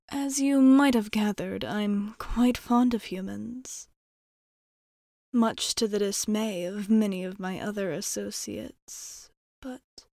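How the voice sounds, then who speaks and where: A woman playing a courteous, guarded vampire bartender in an ASMR roleplay, speaking in soft, measured, formal phrases. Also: mid-range voice, no room sound, studio quality. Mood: gently fond. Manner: polite, measured, formal, often dropping into near-whisper.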